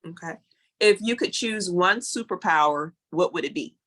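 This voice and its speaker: Bright-voiced woman hosting an interview. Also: on the low side, moderate pace. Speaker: interview host. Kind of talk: casual questionnaire-style interviewing.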